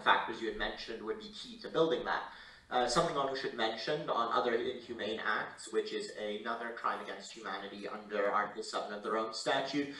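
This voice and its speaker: A man speaking as a legal panelist, giving careful, measured and formal explanations with halting stretches. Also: mid-range voice, sharp tone. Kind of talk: explanatory panel remarks on international law. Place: indoor conference room. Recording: roomy echoing hall, distant mic.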